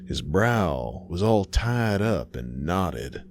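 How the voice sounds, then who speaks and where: A man reading a scripted horror story in a dramatic, measured way, pausing between phrases. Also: low-pitched, big pitch swings, a little hiss or hum.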